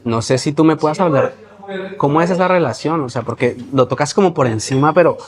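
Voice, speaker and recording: A man's voice: fairly high pitch, fairly quick, a little hiss or hum. A man with a Latin American Spanish accent hosting an interview, asking probing questions and thinking aloud with frequent restarts. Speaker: interviewer and podcast host. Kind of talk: conversational interview.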